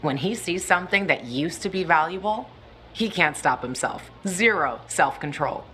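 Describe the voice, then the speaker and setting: A low, forceful-edged voice as a cool young female survivor in a game. She talks in a wry, teasing, casual way, like a guide who has seen it all. Faint noise sits behind her. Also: expressive, moderate pace. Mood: matter-of-fact, scornful. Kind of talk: video game cutscene dialogue.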